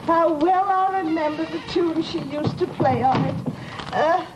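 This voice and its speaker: High-pitched, plaintive radio landlady. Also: unhurried, dull audio, loud music behind the voice. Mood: mournful, sentimental. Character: fussy, sentimental landlady, mournful and easily flustered. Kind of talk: 1940s radio drama acting. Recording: hissy old radio recording.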